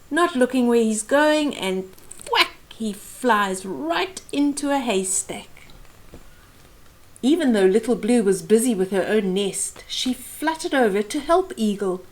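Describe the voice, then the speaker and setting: A middle-aged woman reads a picture book aloud for small children. Her fairly high voice swings up and down as she goes slowly, pausing to explain the pictures. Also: expressive. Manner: deliberate, explaining pictures to young listeners. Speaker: children's storytime host. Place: small indoor room.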